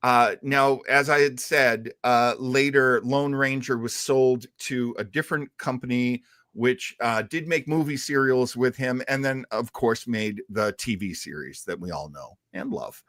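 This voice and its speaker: A man hosting a show, explaining in casual talk with a halting, thinking-aloud delivery. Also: medium pitch, a bit bright, no echo, clean studio sound. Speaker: show host. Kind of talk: casual explanatory talk about old radio heroes.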